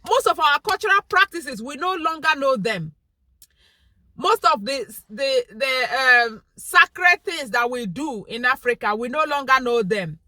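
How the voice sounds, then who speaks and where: A woman's voice: high voice, bright, forceful tone, dry recording. A young woman with a Nigerian Igbo accent talking straight to camera in an earnest, pleading vlog monologue. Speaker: vlogger.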